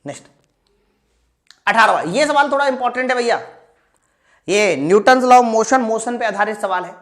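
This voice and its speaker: Woman, fairly high voice, teacher, step-by-step explaining, question-and-answer delivery, repetitive emphasis, informal address. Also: expressive, normal speed, a bit bright. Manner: asks questions and answers them herself, repeats key lines; informal, addresses students directly. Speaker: physics teacher for exam preparation. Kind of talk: live online class, explaining problems step by step.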